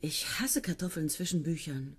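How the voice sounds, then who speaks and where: An actress playing a withdrawn young woman student in a German radio play, speaking low and often in a whisper. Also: moderate pace, breathy edge, no room sound, exaggerated voice. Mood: dreamy. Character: young woman student, brooding, dreamy farmer's daughter.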